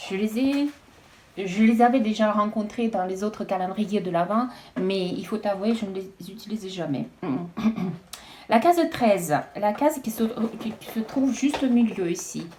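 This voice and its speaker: A woman chatting casually as she unboxes beauty products, hesitant and halting with frequent restarts. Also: medium pitch, mellow, slight background noise. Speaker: beauty vlogger.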